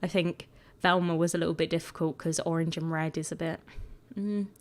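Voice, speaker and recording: A woman with a croaky voice, chatting casually and rambling a bit as she talks over her video. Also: low voice, steady tone, brisk. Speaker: gaming video creator.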